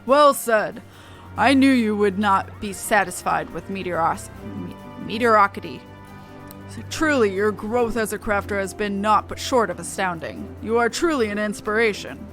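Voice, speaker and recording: A woman's voice: medium pitch, normal speed, fairly bright tone, faint music behind, lightly acted. A woman voicing a pompous, hearty master crafter in a fantasy game, with florid, emphatic delivery. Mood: proud, admiring. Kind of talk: reading game dialogue aloud in character.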